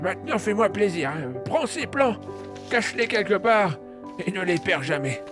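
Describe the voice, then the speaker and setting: A performer playing a gentle, solemn grandfather who explains patiently and earnestly in an audio drama. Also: mid-range voice, very animated, moderate pace, close to an everyday voice. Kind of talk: French audio drama acting. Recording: music behind the voice.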